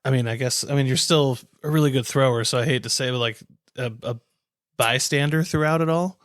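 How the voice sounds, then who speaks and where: Clear-voiced man co-hosting a podcast. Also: mid-range voice, a little fast, no echo, clean studio sound. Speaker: podcast co-host. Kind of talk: casual sports podcast chat.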